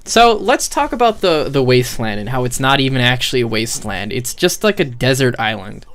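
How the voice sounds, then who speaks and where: A man doing casual, unscripted game commentary as a streamer, profane and opinionated, on a microphone turned up too high. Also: sing-song, fairly quick, a bit bright. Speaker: streamer critiquing a video game. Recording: overdriven, too-hot microphone.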